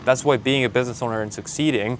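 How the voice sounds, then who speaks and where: A man's voice: medium pitch, quick pace, a little hiss or hum. Blunt Mexican-accented man giving a pep talk. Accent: Mexican Spanish. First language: Spanish. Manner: blunt, pep-talk delivery, calling the listener man and bro. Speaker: sales coach. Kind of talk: motivational vlog monologue. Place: outdoors while traveling. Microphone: handheld camera filming himself.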